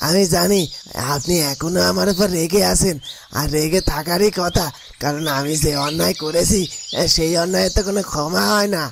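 A lowly, contrite follower in a cartoon melodrama. He speaks in a deferential, pleading way, with clear chirping of birds and crickets around the voice. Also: normal speed, background noise. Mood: apologetic, pleading. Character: humble, remorseful male disciple pleading with his master.

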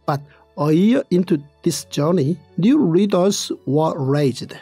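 The slow, measured voice on a devotional audio guide, close and dry, over soft music. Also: medium pitch, slightly rough, dry recording. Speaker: narrator. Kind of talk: scripted narration of Bible study.

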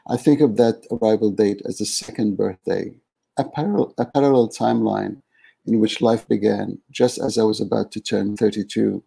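A man in his fifties, from Yemen, reading aloud from his memoir over a video call at home in a measured way, with small restarts. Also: on the low side, creaky, warm tone, breathy edge. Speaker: author reading from his book. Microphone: earbud headset on a video call.

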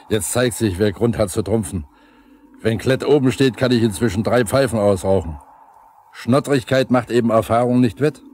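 A man's voice: on the low side, normal speed, a little mellow, close to an everyday voice. An actor playing a proud, easily offended veteran foreman, grumbling and blustering in colloquial speech in a 1970s radio drama. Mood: smug. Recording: faintly noisy 1970s vinyl radio play.

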